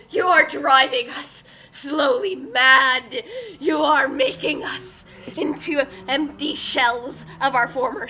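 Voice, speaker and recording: A woman's voice: sits high, pushed and bright. A woman in a casual comedic group commentary, rambling with melodramatic exasperation and stammering. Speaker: co-host of a dramatic fan fiction reading.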